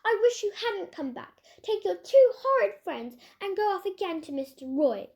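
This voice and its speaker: Woman as a fierce, high-voiced, hot-tempered girl. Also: normal speed, exaggerated voice. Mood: angry. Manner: excitable, exclaiming.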